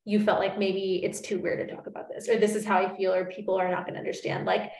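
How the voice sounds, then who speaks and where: A young woman hosts a podcast chat, talking fast and eagerly cutting in with questions. Her voice sits at a medium pitch. The room around her sounds echoey. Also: little pitch movement, a little mellow. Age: 20s. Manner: eager, interjecting with quick questions. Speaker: podcast host. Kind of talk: casual interview chat.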